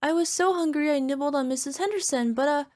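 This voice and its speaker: A woman's voice: high-pitched, quick pace, darkish tone, close to an everyday voice. A woman playing a small, sheepish cyclops monster girlfriend in an ASMR roleplay, wheedling and making cutesy, defensive excuses. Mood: evasive, sheepish. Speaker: voice actor.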